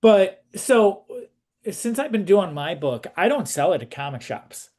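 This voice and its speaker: A man with a somewhat high voice speaks as an interviewer. He puts questions and adds his own comments in a casual, conversational style. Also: very animated, unhurried, darkish tone.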